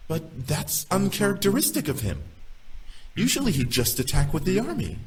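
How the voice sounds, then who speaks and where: An actor playing a reasoning demon nobleman in a visual novel, measured and persuasive. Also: a little high, wildly swooping pitch, gravelly, slight background noise, full character voice. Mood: reassuring. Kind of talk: visual novel character acting.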